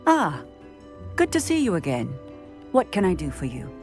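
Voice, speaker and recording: A woman playing a brisk, authoritative law officer in a fantasy video game, formal and composed. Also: on the low side, wildly swooping pitch, a little mellow. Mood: courteous, concerned. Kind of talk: video game character acting. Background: background music.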